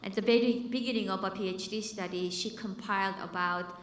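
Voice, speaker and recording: A woman's voice: mid-range voice, steady tone, a little fast, a little hiss or hum. A Korean-born woman in her fifties, a business school dean, giving a conversational conference talk with a Korean accent mixed with American and British sounds, with fillers and repeated words. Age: 50s. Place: conference hall. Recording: echoey hall with long reverb.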